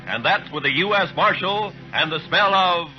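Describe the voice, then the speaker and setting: A man's voice: sits high, animated, normal speed. A man announcing a radio western in a dramatic, emphatic 1950s scripted style. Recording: dull old radio recording, loud music.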